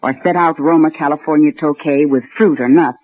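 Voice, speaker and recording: A man's voice: on the high side, steady tone, a little fast, lightly acted. A man voicing a gracious society hostess's recommendation in a 1940s radio advertisement, on a tinny old recording.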